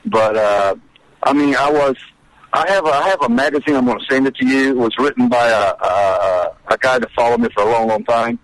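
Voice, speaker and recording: A man in his sixties from Brazil with a Brazilian Portuguese accent, a guest recalling his playing days in a casual interview, halting with many repeats and restarts. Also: mid-range voice, very animated, low-quality recording. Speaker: guest, former college kicker.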